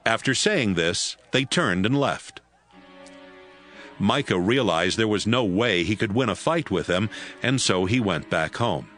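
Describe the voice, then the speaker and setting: A man narrating scripture in a steady, measured way. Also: fairly low pitch, faint music behind. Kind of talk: scripted audio Bible narration.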